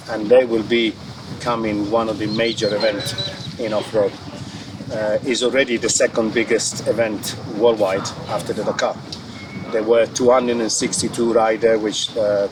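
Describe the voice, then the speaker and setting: A man with a clear Italian accent speaks in a slightly low, earnest voice, circling back on his phrases. He stands well away from the microphone, and heavy outdoor noise surrounds him. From Italy. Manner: earnest, repeats and restarts phrases, non-native grammar. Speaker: company executive interviewed. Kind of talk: outdoor event interview. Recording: far from the mic with loud background noise.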